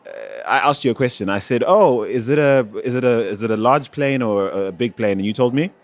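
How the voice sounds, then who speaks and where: A man's voice: medium pitch, brisk. A man hosting a podcast, moving between scripted narration and conversational interviewing. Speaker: podcast host.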